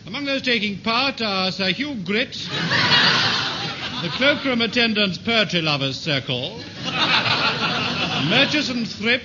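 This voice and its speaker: A man's voice: sits high, animated, unhurried, long pauses. A man playing a mock-grand announcer in vintage radio comedy. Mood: mock-formal. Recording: muffled, very noisy old radio recording.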